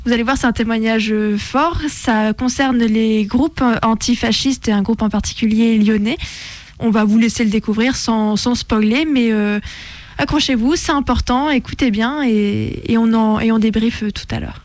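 Woman, radio host, slightly high pitch, dry studio sound, conversational live presenting. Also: fairly even intonation, moderate pace, darkish tone, dry recording.

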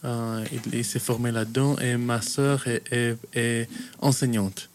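A man's voice: mid-range voice, fairly even intonation, a little slow, slight background noise. A man in his twenties who grew up in Kenya and works as a youth worker, answering interview questions in a hesitant way, with frequent fillers and restarts. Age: 20s.

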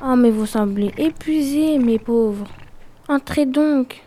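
A woman's voice: sits high, slow pace, dark tone. A woman playing a sorceress and hostess in an audio drama, with a cooing, theatrical manner that can turn scornful. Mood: sweetly welcoming.

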